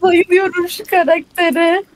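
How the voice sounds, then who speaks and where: A woman streamer gives casual reaction commentary while gaming. Her voice is high and her short asides are irreverent. There is faint noise in the recording. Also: level tone, measured pace.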